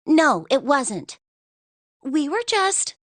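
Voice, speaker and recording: Game role: a petite, moody young woman, very high girlish voice, animated pitch. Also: very slow, heavily acted. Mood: defensive. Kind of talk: English video game voice acting.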